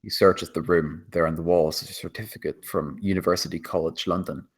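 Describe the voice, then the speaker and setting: Male narrator, very low pitch, rough husky tone, scripted screenplay narration. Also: steady tone, a little fast.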